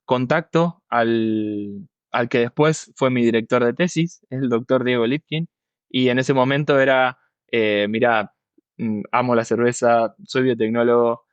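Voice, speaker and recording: A man's voice: mid-range voice, no echo, muffled recording. A man in his thirties with a Buenos Aires accent, telling his story conversationally as an interview guest. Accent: Buenos Aires Argentine. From Buenos Aires, Argentina. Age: 30s. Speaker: guest expert, yeast scientist.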